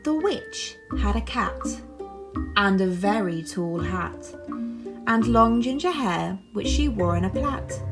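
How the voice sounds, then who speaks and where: A woman reading a bedtime story to young children in an expressive, theatrical, deliberate way. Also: medium pitch. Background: music.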